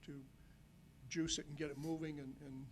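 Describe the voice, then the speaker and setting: A man who once sat on a town committee, answering questions at a public meeting in a halting, wry way. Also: on the high side, level tone, slight background noise. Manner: halting, repeats words, wry. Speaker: former committee member.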